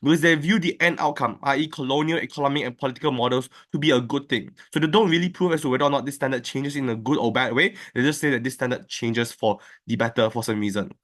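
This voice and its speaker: A man delivering a competitive debate speech over an online call, arguing point by point with quick rebuttals. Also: mid-range voice, a bit bright. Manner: fast, structured argument with quick rebuttals. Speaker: debate speaker. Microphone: online call, own mic.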